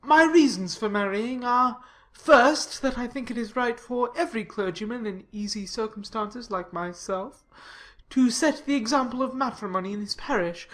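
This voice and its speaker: A woman's voice: a little high, normal speed, a bit bright, lightly acted. A woman playing a solemn, self-satisfied clergyman in an audiobook drama, speaking in a pompous, formal, long-winded way. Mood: pompous, orderly.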